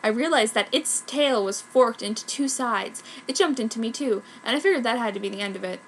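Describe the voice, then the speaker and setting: Female story reader, high bright voice, fanfiction narration, noisy recording. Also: a little fast. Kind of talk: dramatic comic reading of a story aloud.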